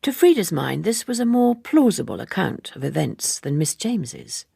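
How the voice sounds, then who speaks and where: Female audiobook reader, literary and measured, animated pitch, very dry close sound. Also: gravelly, slightly rough, no room sound. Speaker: audiobook narrator. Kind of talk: expressive literary scripted narration.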